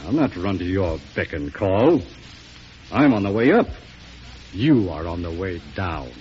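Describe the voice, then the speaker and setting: A man's voice: low-pitched, very animated, measured pace. A man playing a haughty, hard-hearted senator in mid-century radio drama, with a curt, pompous, dismissive delivery. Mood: defiant, angry. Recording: muffled, noisy old radio broadcast.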